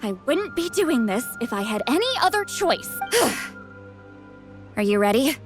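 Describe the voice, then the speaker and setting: Proud, high-voiced shaman heroine. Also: sing-song, slow, music in the background, exaggerated voice. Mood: flustered. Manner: boastful yet self-doubting, dramatic. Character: proud, sharp-tongued youthful shaman granny, secretly protective. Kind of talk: video game voice acting.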